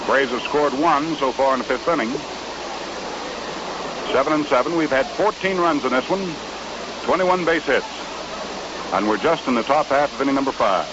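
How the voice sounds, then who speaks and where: A man's voice: mid-range voice, expressive, moderate pace, long pauses, noisy background. An American man calling baseball play-by-play in a folksy 1950s radio commentary style, on a muffled old broadcast recording. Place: ballpark broadcast booth.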